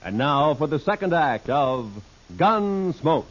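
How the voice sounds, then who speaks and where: Lively 1950s American radio announcer man. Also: fairly high pitch, slow. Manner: polished, emphatic. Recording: dull old 1950s radio recording.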